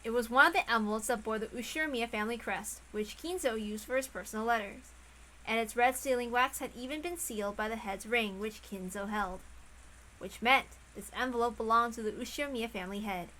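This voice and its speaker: A woman's voice: a little high, a little fast, pushed and bright, slight background noise, poor audio. A woman reading a visual novel aloud as dramatic scripted narration.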